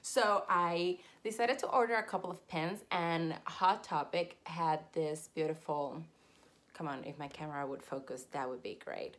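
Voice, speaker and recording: A woman's voice: deep voice, normal speed. Chatty woman raised in Puerto Rico. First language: Spanish. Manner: chatty and animated, squealing with excitement, frequent laughs. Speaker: collector vlogger. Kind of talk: casual haul video chat. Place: collectibles-filled room indoors. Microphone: camera at desk distance.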